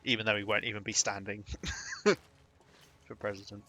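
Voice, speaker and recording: A man's voice: fairly low pitch, little pitch movement, unhurried, pushed and bright, soft background music. A young British man chatting casually while streaming a game, rambling and chuckling between remarks. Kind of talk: live gaming commentary.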